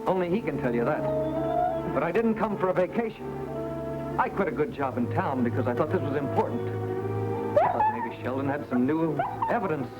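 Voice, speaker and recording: Pleading, animated man in a radio western. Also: a little high, brisk, low-quality recording. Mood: indignant, defensive. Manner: pleading, insistent. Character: desperate accused man pleading his innocence, earnest. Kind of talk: old-time radio western acting. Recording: loud music behind old radio drama.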